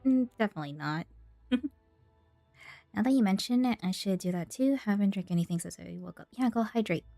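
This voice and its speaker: A woman's voice: medium pitch, expressive, a little fast, gravelly, warm tone, no echo, soft background music. A woman game streamer reacting casually to gameplay and chatting to viewers. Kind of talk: casual live gameplay reaction and reading along.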